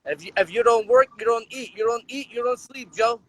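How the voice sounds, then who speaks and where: A man's voice: very high voice, fairly quick, a bit bright, slight background noise, rough recording. A man from Detroit calling into a live stream, speaking earnestly and agreeing emphatically.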